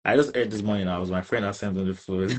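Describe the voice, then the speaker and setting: A man's voice: fairly low pitch, fairly even intonation, fast talker. A young man with a Nigerian accent, answering casually as a student in an interview and often adding little tag questions. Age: young adult. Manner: informal, frequent tag questions like "right?" and "you get".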